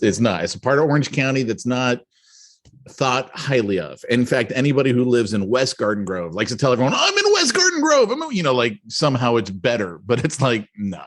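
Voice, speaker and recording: Rambling middle-aged male podcast host. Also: medium pitch. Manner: rambling, animated, lots of restarts and asides. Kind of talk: casual storytelling chat.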